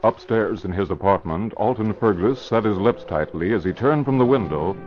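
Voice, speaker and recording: Low-voiced male radio serial narrator. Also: animated, moderate pace. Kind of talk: dramatic scripted 1940s radio narration. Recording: muffled old radio recording. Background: music.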